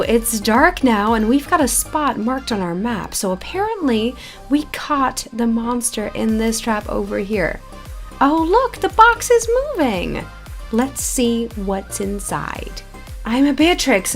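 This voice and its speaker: A woman giving casual, chatty and expressive gameplay commentary as a video creator. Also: fairly high pitch. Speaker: video game YouTuber. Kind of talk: casual gameplay commentary, reading quest dialogue aloud. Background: background music.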